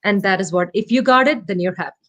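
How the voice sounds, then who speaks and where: A woman's voice: mid-range voice, quick pace, fairly bright tone. Thoughtful woman guest, Malayalam native. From India. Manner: thoughtful, self-deprecating, searches for words with many fillers. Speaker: guest, startup CEO. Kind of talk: casual interview talk. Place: home, remote call.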